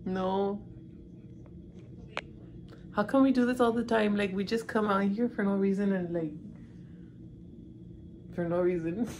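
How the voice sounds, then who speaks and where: A woman's voice: medium pitch, slight background noise. Chatty young woman filming on a phone. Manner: chatty, swears, laughs often, mixes in Spanish phrases. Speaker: vlogger. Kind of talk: casual chat. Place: hotel room and hallways, indoors. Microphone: handheld phone camera.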